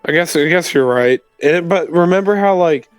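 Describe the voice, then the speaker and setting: A man's voice: on the high side, moderate pace, clear, resonant tone, soft background music. A man playing in a tabletop roleplay game, chatting casually in character.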